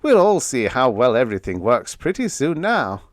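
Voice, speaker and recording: Sci-fi audiobook sidekick, voiced by a man: enthusiastic male engineer, pitched up, animated, jokey. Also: moderate pace, dry recording, studio quality, lightly acted. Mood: joking modesty. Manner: exclamatory, boyish enthusiasm. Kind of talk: audiobook character dialogue.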